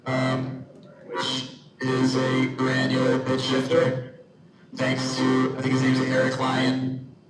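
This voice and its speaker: A man with a mid-pitched voice gives a casual demonstration, a little away from the mic. The room is very echoey, and loud music plays behind him. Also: completely flat delivery, slow, recorded from a distance. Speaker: presenter demonstrating own music project.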